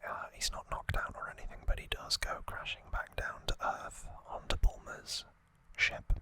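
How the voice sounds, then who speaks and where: An ASMR host whispering a recap of trivia in a breathy, unvoiced voice, with halting, informal asides.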